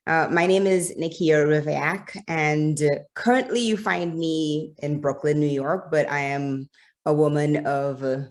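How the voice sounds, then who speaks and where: A woman's voice: low voice, steady tone, unhurried, a little mellow. A woman from Trinidad and Tobago with a Trinidadian accent, introducing herself on a panel in a chatty, self-assured way over a video call.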